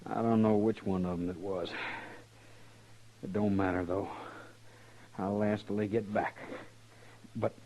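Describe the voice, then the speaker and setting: Slow, halting wounded young outlaw played by a man. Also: lowish voice, flat delivery, dark tone, close to an everyday voice. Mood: strained, wary. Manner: halting, drawling rustic Western speech. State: weak and labored from a gunshot wound. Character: fatally wounded young male outlaw gunman, bitter yet resigned. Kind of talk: old-time radio Western acting. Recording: muffled, noisy old radio recording.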